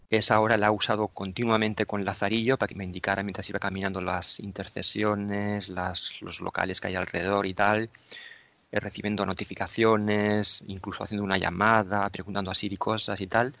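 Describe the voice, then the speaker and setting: A man with a Spain Spanish accent talks through a gadget in a patient, explanatory way, pausing on small "eh" fillers. His voice sits low and has a gravelly rasp. It comes through dull, lo-fi online call audio, close and dry. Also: a bit bright, no room sound. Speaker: tech meetup member. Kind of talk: casual gadget review over a group call. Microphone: video call.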